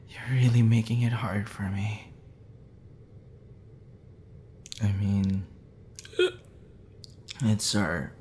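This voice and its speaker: A man playing a tipsy, smitten young man in an intimate ASMR roleplay, shy, tender and halting in a hesitant murmur. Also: lowish voice, darkish tone, a little hiss or hum, voice pushed down.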